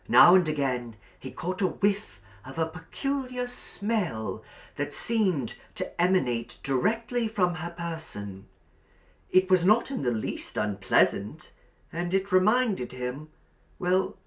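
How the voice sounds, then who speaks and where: A man reading a short story aloud as a scripted audiobook narrator. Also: mid-range voice.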